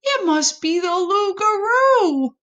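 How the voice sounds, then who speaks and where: A woman's voice: extremely high pitch, animated, takes it slowly, fairly bright tone, muffled recording, voice pushed up, exaggerated voice. A woman voicing a timid but boastful little possum in a children's storybook, exclaiming dramatically. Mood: frightened gasping.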